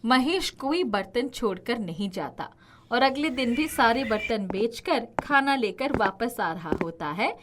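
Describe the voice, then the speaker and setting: A woman's voice: on the high side, animated, a little slow, fairly bright tone, slight background noise. A woman narrating a scripted children's story as the narrator.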